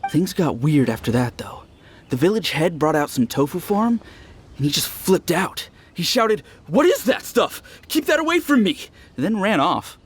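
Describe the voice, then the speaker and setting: A friendly young village gossip from an anime-style game. His voice sits fairly high and moves in lively swings. He speaks like an eager storyteller. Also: moderate pace, slightly rough. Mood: excited storytelling.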